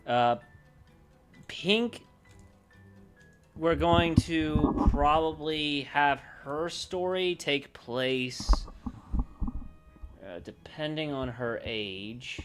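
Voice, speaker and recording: A man livestreaming casually, thinking aloud in a halting, unscripted way as he works through ideas. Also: on the high side, soft background music. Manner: halting, pausing to think and correct himself. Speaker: livestreamer brainstorming a roleplaying campaign.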